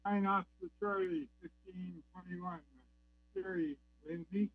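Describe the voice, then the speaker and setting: A man checking into a radio net with slow, terse call-sign talk. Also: high voice, vocal fry, no echo. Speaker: net caller. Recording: tinny phone-like radio relay.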